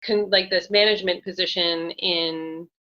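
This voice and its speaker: A woman hosting a podcast asks eager, effusive questions. Her voice has a bright, forceful edge. The recording sounds dull and lo-fi. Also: fairly low pitch, steady tone, moderate pace. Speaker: podcast host. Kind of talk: casual interview chat.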